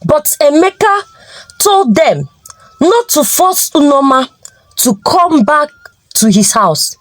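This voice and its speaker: Slow, high-pitched Nigerian woman telling a story. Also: very animated, dry recording. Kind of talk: scripted folktale narration with acted dialogue.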